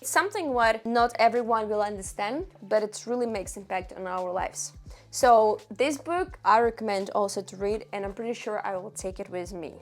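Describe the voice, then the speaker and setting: Woman talking books to camera, english not her first language, halting and chatty, bit of quiet music behind. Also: mid-range voice. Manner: halting, chatty, non-native phrasing with restarts. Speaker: book vlogger. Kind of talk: casual talk to camera.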